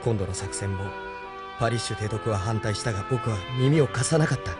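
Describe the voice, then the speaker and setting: A man's voice: on the low side, a little fast, music in the background, lightly acted. A man playing a brooding, self-doubting young resistance leader in a Japanese audio drama, earnest and introspective.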